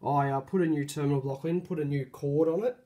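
A man with a hoarse voice from a cold, casually showing off a light fitting he refurbished, talking hesitantly with many ums and restarts, recorded on a handheld phone indoors. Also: a little high, steady tone, fast, dark tone. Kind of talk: casual show-and-tell narration.

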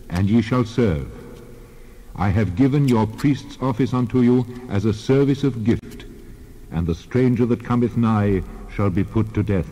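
An actor playing God as a solemn, commanding lawgiver in a dramatized scripture reading. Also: male voice, medium pitch, mellow, lightly acted. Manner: solemn, declarative. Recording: long reverb, dull band-limited sound.

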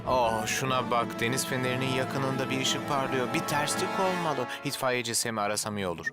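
A man's voice: mid-range voice, brisk, close to an everyday voice. A man voicing an alert, dependable rescue worker in a children's cartoon dub. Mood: concerned. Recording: loud music behind the voice.

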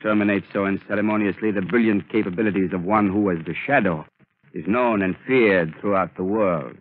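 Smooth, menacing man voicing a mastermind villain with a lowish voice on a tinny old radio recording. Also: a little fast, lightly acted. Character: scheming male mind-controlling villain. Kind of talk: 1930s radio drama acting.

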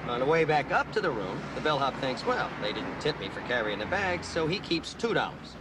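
Actor as quick-talking, earnest American male angel. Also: medium pitch, sing-song, heavy background noise, natural voice. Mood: casual. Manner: earnest, placating. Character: earnest protective male angel in human form. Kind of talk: television drama acting.

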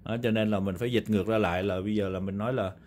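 Low-voiced Southern Vietnamese man chatting casually. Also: fairly even intonation, rapid-fire, a little mellow, slight background noise. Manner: conversational, rambling explanations with repeated confirming tags. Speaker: video host reviewing vintage audio gear. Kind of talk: casual, unscripted product talk. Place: indoor room, demonstrating equipment on a table.

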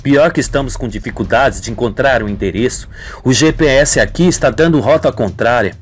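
A man voicing a shady, menacing young male accomplice with gruff, slangy talk in a radio soap. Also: medium pitch, brisk, faint music behind, lightly acted. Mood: falsely friendly.

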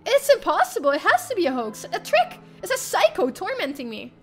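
A young woman streamer reading a visual novel aloud in the first person, expressive and theatrical. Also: extremely high pitch, a little fast. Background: game music.

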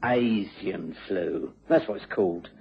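An actor in a radio drama playing a bumbling, working-class British husband, hesitant, folksy and rambling. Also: male voice, low-pitched, slow, creaky, heavily acted. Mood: matter-of-fact. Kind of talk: BBC radio drama acting. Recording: muffled old radio recording.

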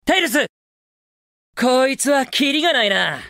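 A daring young video game hedgehog hero, cocky and cool. The delivery is brash and casual, with very animated pitch swings. The recording is dry and close, with no room sound. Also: male voice, unhurried, creaky, no echo, full character voice. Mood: urgent, shouting. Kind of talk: Japanese video game voice acting, short clips.

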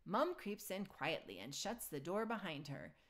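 A woman reading a children's picture book aloud in an expressive storytelling style. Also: on the low side, measured pace.

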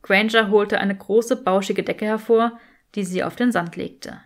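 A woman's voice: mid-range voice, fairly even intonation, a little slow. Woman narrating an audiobook. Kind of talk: scripted fan fiction narration.

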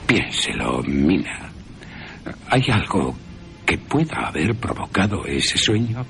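A man playing a courteous vampire-hunting professor who questions softly and insistently. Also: deep voice, big pitch swings, slow, vocal fry, soft background music, heavily acted. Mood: gentle, probing. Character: gentle, probing older professor, a vampire hunter.

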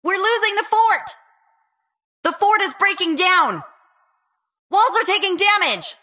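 Bright, high-voiced flirty game heroine. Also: female voice, lively intonation, measured pace, heavily acted. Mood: urgent, alarmed. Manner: flirtatious, playful, dramatic. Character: playful, lovestruck winged female warrior hero. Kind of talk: video game hero voice lines, short combat barks.

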